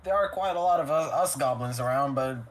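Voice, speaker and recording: Animated, joking male tabletop player. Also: mid-range voice, a little fast. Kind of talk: casual, joking chat.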